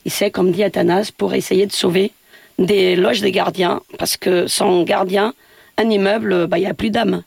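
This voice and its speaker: A woman's voice: medium pitch, fairly even intonation, normal speed, vocal fry, darkish tone, slightly rough, no echo. A woman from Portugal, a building caretaker speaking as a radio interview guest, hesitant with many fillers and restarts.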